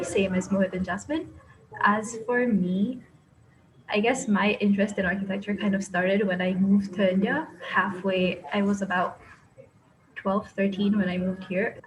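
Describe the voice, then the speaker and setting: A woman's voice: mid-range voice, a little hiss or hum, low-quality recording. A woman in her twenties answering interview questions casually, talking with frequent "like" and "kind of" fillers. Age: 20s. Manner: chatty, frequent "like" and "kind of", laughs easily. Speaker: interviewee, architecture graduate.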